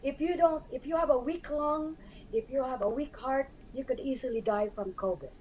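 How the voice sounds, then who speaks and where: A hoarse woman speaks earnestly in a fairly high but dark, mellow voice, often restarting her sentences as she explains. Faint noise hums under the live-stream audio. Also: normal speed, poor audio. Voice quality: hoarse, scarred voice. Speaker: guest.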